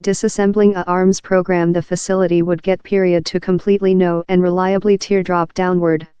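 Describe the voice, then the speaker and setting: A woman's voice: fairly low pitch, level tone, brisk, warm tone, clean tone, no echo, lo-fi sound. A woman narrating scripted news in a stiff, mechanical reading manner.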